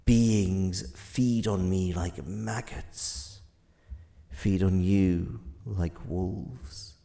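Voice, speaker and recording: An ancient god, cold and bitter, in an audio drama. The voice is very low and crawls along solemnly, every pronouncement archaic and gravelly. It rings out in a long, cavernous reverb. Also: male voice, fairly even intonation, extremely slow, warm tone, exaggerated voice. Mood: ominous. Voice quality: deep, gravelly. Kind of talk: dramatic audio fiction acting.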